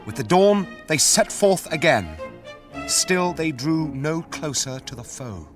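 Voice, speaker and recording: An actor narrating an epic radio drama as a grave storyteller. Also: male voice, on the high side, animated, slow pace, faint music behind.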